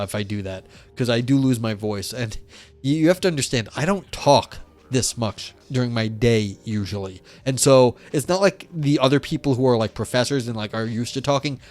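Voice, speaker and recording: A man reading philosophy papers aloud on a live stream and chatting with viewers in a rambling, filler-heavy way, his voice wearing thin. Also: medium pitch. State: losing his voice.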